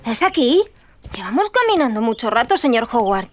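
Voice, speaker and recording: A woman playing a trusting, excitable little girl in a true-crime audio drama. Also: fairly high pitch, normal speed, slight background noise. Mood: innocent, eager.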